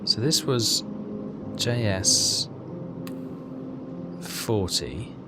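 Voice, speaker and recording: A man's voice: fairly low pitch, lively intonation, very slow, very noisy. A man hosting a game playthrough, casually thinking aloud and reading bits of on-screen text as he works things out.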